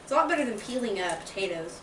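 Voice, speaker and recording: A woman chatting casually while peeling apples, easygoing and often trailing off softly. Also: medium pitch, lively intonation, very fast, slight background noise. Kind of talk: casual chat. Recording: roomy, with chatter of people around.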